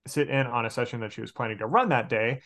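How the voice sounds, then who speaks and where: A man in his late twenties chatting casually as a podcast guest, animated and repeating words as he explains. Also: medium pitch, fast talker. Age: late 20s. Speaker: podcast guest, game master. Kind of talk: casual chat.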